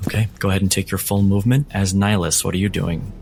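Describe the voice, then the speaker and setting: A man's voice: sits low, steady tone, fast talker, creaky, a little mellow, faint music behind. A man working as game master, narrating a tabletop game in a theatrical, emphatic way.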